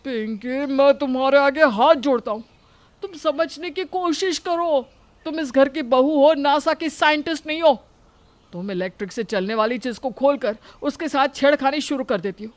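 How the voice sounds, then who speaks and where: A woman's voice: sits high, normal speed, natural voice. A woman voicing a long-suffering young husband, complaining dramatically and pleading in a family story. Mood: exasperated.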